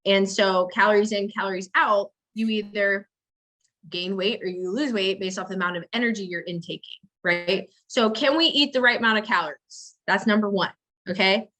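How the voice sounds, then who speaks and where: Bright-voiced woman explaining step by step. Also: medium pitch, moderate pace, poor audio. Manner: step-by-step explaining with rhetorical check-ins. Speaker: fitness coach and business owner, interview guest. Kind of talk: casual explanatory interview talk.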